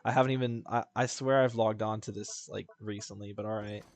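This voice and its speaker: A man's voice: on the low side, steady tone, a little fast, soft background music. A man chatting casually as he streams a video game, rambling in a slangy, jokey way. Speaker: live streamer.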